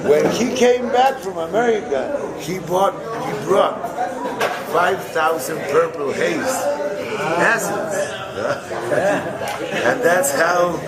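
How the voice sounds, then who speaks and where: A man's voice: a little high, expressive, slow pace. An older man from Guatemala, telling rambling stories of his past with many fillers and restarts. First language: Spanish. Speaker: interviewee. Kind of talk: rambling storytelling. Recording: crowd noise behind.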